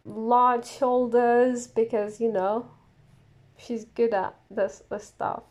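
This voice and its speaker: A woman's voice: fairly high pitch, steady tone, takes it slowly, a little mellow, muffled recording. A young woman whose first language is not English, a player on a role-playing podcast, speaking hesitantly with many fillers and giggles. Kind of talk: casual chat.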